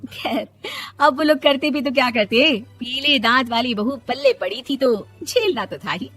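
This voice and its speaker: A woman's voice: high-pitched, normal speed, fairly bright tone, faint music behind. Woman narrating a cartoon story.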